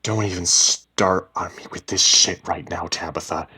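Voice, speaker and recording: A man's voice: low-pitched, fairly even intonation, moderate pace, pushed and bright, breathy edge, exaggerated voice. A man voicing a bewildered, defensive husband in a dramatic story reading, insistent and emphatic. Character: bewildered, defensive husband who hears voices.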